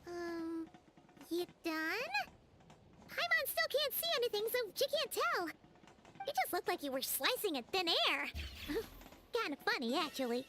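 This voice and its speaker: A woman voicing a tiny, chatty, cheeky fairy girl companion in a fantasy game, with a squeaky childlike voice. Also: big pitch swings, moderate pace, pauses often, background noise. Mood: teasing. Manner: refers to herself in third person, exclaims. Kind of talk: animated fantasy game voice acting.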